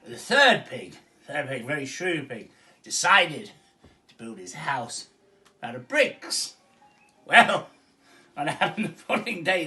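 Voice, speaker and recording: A man's voice: sits high, pushed and bright. A man telling a rambling comic bedtime story, chuckling and wandering into asides.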